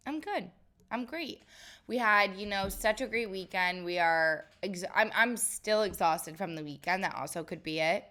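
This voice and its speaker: Stuffy, raspy-voiced woman chatting. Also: mid-range voice, moderate pace, a bit bright. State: stuffy, nasal from a cold. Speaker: podcast co-host. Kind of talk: casual chat.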